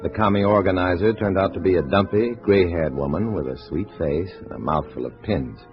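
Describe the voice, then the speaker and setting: An actor playing a terse, dry undercover agent posing as a communist in a 1950s radio drama. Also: male voice, low-pitched, brisk, over music, natural voice. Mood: narrating, sardonic. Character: hard-boiled undercover male agent posing as a communist comrade. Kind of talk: 1950s radio drama acting and first-person narration. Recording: muffled vintage radio recording, faint hiss.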